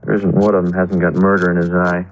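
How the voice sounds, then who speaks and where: An actor playing a jittery studio sound engineer who talks in a nervous, apologetic, hurried way in a 1950s radio drama. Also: male voice, low voice, flat delivery, lo-fi sound, natural voice. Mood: uneasy.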